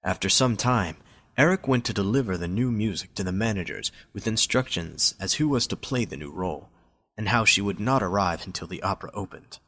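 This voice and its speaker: A man's voice: lowish voice, lively intonation, fairly quick, pushed and bright. A man reading scripted story narration as the narrator of an amateur audio drama.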